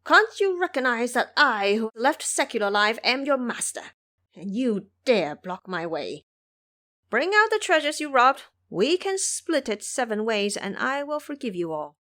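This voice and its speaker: A woman's voice: fairly high pitch, sing-song, unhurried, pushed and bright, heavily acted. A woman voicing a cocky, fearless male monkey disciple who boasts and taunts in an audio drama. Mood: taunting.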